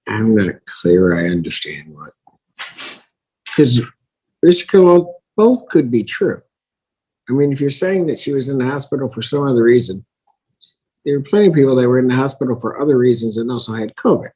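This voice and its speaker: A man with a husky voice speaking as a judge in a courtroom, reasoning aloud in halting, repeated phrases. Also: mid-range voice, very animated, mellow, low-quality recording. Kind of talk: courtroom ruling, spontaneous questioning.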